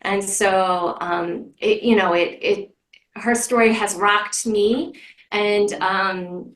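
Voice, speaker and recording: A woman leading a program, speaking reflectively and casually in group conversation, with halting, searching pauses. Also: mid-range voice, steady tone, fairly bright tone. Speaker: program leader. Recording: echoey room, distant mic.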